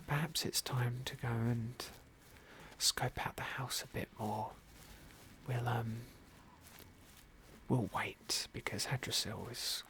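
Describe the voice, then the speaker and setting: A British man narrating an ASMR game playthrough in a gentle, polite, understated manner. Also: medium pitch, vocal fry. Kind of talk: whispered ASMR roleplay and commentary.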